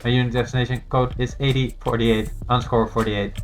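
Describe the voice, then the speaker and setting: A man's voice: mid-range voice, little pitch movement, fairly quick, natural voice. A man voicing a vain, sulky robot judge in a theatrical, flippant manner for a courtroom game. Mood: grandiose. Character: flamboyant, vain, sulky robot judge presiding over trials.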